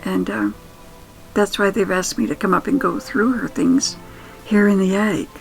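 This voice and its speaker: An older woman telling nostalgic first-person stories in an intimate, wistful, sentimental manner, with musing pauses. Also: medium pitch, animated, gravelly, faint music behind, low-quality recording. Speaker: podcast host and storyteller.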